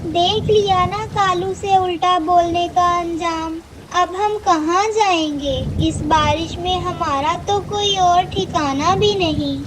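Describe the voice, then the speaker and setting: An actor voicing a gentle, hardworking mother bird in a children's cartoon. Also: extremely high pitch, fairly even intonation, takes it slowly, background noise. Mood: worried. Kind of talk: Hindi cartoon dialogue.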